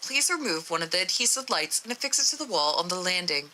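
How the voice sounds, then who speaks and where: A woman voicing a calm, insistent research doctor in a horror story read-aloud, formal and detached. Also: on the low side, fast.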